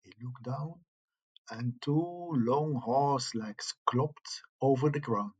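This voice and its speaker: A man reading scripted tales aloud as a storyteller, with a husky voice. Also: medium pitch, slow, darkish tone. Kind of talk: slow scripted ghost story narration.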